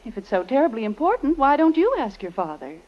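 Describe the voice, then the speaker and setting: A dull old radio recording of a middle-aged American mother. Her mid-pitched voice is expressively varied, affectionate and practical, gently coaxing and smoothing things over. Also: sing-song, fast. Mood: placating. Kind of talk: old-time radio family comedy acting.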